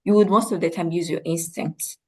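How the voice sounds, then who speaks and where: A woman from Rwanda, a guest coach, answering interview questions in a measured, reflective way. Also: fairly low pitch, fairly even intonation, warm tone.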